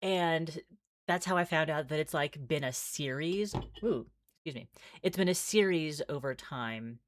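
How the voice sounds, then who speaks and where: A woman game streamer chatting casually as she plays, halting and thinking aloud. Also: low-pitched, no echo, clean studio sound. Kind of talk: casual livestream chat while playing visual novels.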